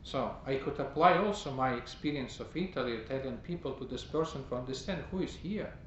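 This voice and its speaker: Priest, male, mid-pitched, unhurried halting sermon delivery with repeated words; echoey, muffled, noisy church recording. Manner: slow, halting, with many pauses and fillers. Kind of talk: teaching sermon. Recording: roomy, dull, noisy recording.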